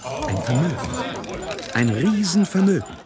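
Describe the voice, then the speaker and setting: An actor narrating a radio drama in a theatrical, suspenseful and emphatic style. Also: male voice, on the high side, very animated, measured pace. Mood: tense, suspenseful. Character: dramatic male storyteller following gamblers' fortunes. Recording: radio drama with crowd behind.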